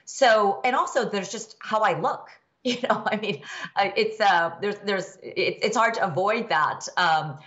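A woman's voice: mid-range voice, unhurried, fairly bright tone, dull audio. A woman in her fifties from the American Midwest, answering interview questions candidly with many fillers and restarts. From United States, Midwest. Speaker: guest expert, law professor.

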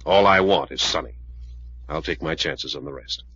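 An actor playing a steady, blunt investigator in 1940s radio drama, laconic and plain-spoken, with an American accent. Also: male voice, a bass voice, normal speed, a little hiss or hum. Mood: firm. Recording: dull old radio recording.

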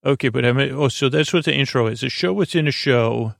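A man hosting a sleep podcast, giving a halting, meandering bedtime monologue with a gravelly voice that drifts into tangents. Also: medium pitch, no echo, studio quality.

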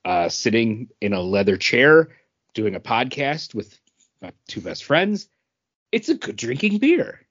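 An American man with a medium-pitched voice speaks as a podcast co-host. He talks casually and haltingly, pausing often between short phrases. The sound is slightly muffled. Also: very animated, a bit bright, slightly rough. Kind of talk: casual chat and beer review.

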